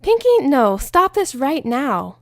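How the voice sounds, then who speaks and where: An exasperated young unicorn mare, as a woman reader plays her, scolding with rising urgency in every phrase. The voice sits a little higher than the reader's own and stays close and dry. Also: very animated, slow, dry recording, exaggerated voice.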